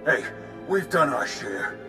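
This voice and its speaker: A man's voice: mid-range voice, big pitch swings, takes it slowly, music in the background. A man voicing a brash, defensive pirate gang boss in a video game, with an indignant, sneering manner.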